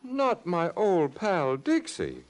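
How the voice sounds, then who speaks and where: A man's voice: sits high, wildly swooping pitch, slow, heavily acted. An actor playing a sly, mocking, nonchalant crook in his thirties in a 1940s radio drama style. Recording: very noisy, crackly old-time radio recording.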